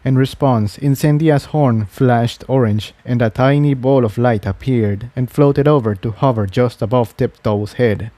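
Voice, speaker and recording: A man's voice: medium pitch, a little slow, hardly any pauses, warm tone, no room sound. A man reading an adventure story aloud as scripted narration. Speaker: audiobook-style reader of fan fiction.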